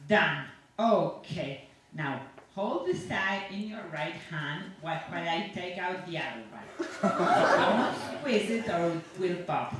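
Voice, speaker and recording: A woman's voice: on the low side, expressive, slow. A woman reading a story aloud as a chatty, self-satisfied, sinister dentist who rambles on with cheerful reassurance to a patient. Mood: gleeful, macabre. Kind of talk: dramatic monologue read aloud to an audience. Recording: echoey room from far off, faint noise.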